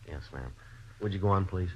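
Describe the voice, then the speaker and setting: A courteous American police detective in a vintage radio drama. His voice is extremely low and he talks briskly in a deadpan, no-nonsense way. The recording is old and muffled. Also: slightly rough. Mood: polite, flat. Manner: clipped, polite, deadpan. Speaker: lead detective and narrator.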